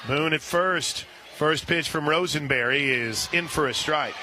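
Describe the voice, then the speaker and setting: An American man doing live radio play-by-play of softball, chatty and folksy between pitches. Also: a little slow. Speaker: play-by-play announcer. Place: outdoor softball stadium. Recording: noisy outdoor ballpark broadcast. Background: loud ambient ballpark noise.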